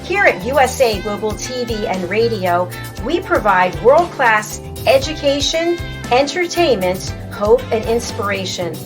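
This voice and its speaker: An older woman hosting a show, delivering an upbeat welcome and then chatting warmly with a guest. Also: on the high side. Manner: enthusiastic, laughs often. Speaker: host and network president. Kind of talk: scripted intro then casual chat.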